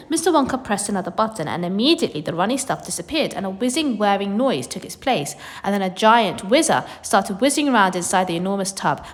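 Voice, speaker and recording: A woman reading a children's book aloud in an expressive, theatrical storytelling style. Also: medium pitch. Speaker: storybook reader. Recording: long hall-like reverb.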